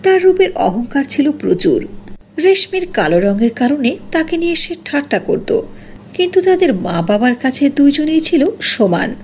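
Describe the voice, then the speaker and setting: A woman's voice: sits high, very animated, moderate pace, darkish tone, a little hiss or hum. A woman narrating a scripted cartoon fairy tale.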